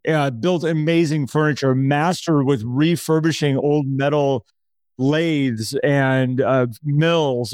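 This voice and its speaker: A man co-hosting a podcast in casual conversation, telling things in an animated way and voicing imagined quotes. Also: on the high side, no room sound. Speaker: podcast co-host.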